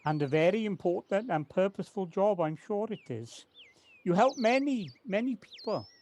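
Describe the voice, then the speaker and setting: A woman in an amateur audio drama playing a devoted, wistful male gardener, speaking in an earnest, halting, reflective way. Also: mid-range voice, expressive, mellow, lightly acted. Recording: noisy home personal-device recording with clicks.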